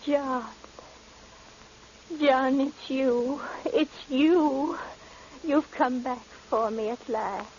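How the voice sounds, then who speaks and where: Slow, dreamy, wistful old lady. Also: high voice, darkish tone, slight background noise. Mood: yearning. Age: older. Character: frail, wistful, lovelorn old woman waiting for lost love. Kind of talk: 1940s radio drama acting. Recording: dull old radio recording, music behind.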